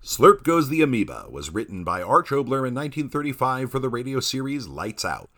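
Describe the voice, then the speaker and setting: A man's voice: mid-range voice, very animated, fairly quick, background noise. A man working as a podcast announcer, reading scripted credits in a polished, presentational way.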